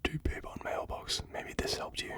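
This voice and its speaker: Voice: normal speed, a bit bright, close to an everyday voice. A reader whispering the lines of an odd foreign man speaking broken English.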